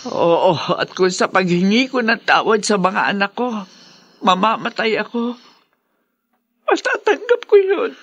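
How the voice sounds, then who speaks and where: Remorseful ailing mother, Filipino radio drama; low-pitched for a woman, slow, pleading delivery. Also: sing-song, fairly bright tone, dull audio, exaggerated voice. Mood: earnest, determined. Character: remorseful ailing older mother begging forgiveness.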